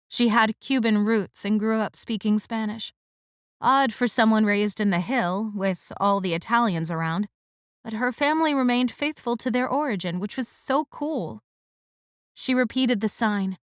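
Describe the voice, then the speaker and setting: Female audiobook narrator, slightly high voice, measured and expressive, dry close sound. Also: no echo. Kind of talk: first-person romance audiobook narration.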